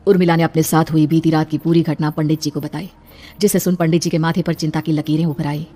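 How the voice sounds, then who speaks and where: Brisk horror story narrator. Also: medium pitch, little pitch movement, dark tone.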